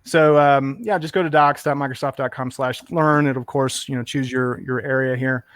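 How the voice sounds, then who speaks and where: A man's voice: mid-range voice, steady tone, normal speed, fairly bright tone, dry recording, studio quality. A man chatting enthusiastically and rambling a bit on a tech stream, full of fillers. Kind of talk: casual tech chat.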